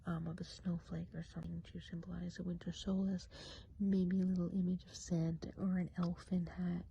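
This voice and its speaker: A woman playing a kindly witch of the woods in a soft-spoken, whispered ASMR role play, chatty and unhurried. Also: lowish voice, gravelly, muffled recording, slight background noise. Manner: hushed, unhurried, chatty, often trailing off.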